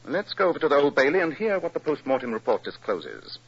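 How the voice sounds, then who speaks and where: An actor playing a brisk, authoritative British gentleman detective with a cool, commanding manner in a 1940s radio drama. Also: fairly high pitch, sing-song. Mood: analytical, pensive. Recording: muffled, hissy old radio recording.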